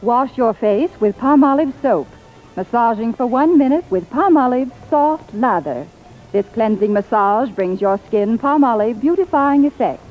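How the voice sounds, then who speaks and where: A woman's voice: fairly high pitch, animated, measured pace, soft background music. A woman reading a scripted vintage radio commercial as spokeswoman, on a tinny recording. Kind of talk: 1940s-50s radio advertisement.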